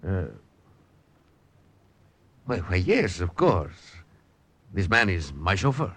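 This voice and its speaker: An actor playing a haughty, middle-aged Italian fascist gentleman with a courtly, condescending manner in an old radio drama. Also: low-pitched, big pitch swings, takes it slowly, full character voice. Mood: courteous. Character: suave, haughty older Italian fascist gentleman with grown daughter. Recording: vintage radio broadcast, faint noise.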